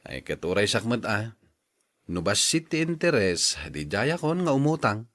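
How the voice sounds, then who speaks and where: A man acting a mild, soft-hearted working husband in a radio drama, placating and easygoing. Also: lowish voice, very animated, a little slow.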